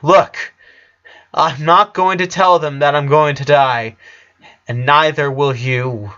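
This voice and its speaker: A man's voice: on the high side, fairly even intonation, slow, pushed and bright, clean tone, lo-fi sound, pitched above the performer's own voice. A man voicing a sick eleven-year-old boy who is stubborn, weary and snappish in a story reading. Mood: angry, defiant.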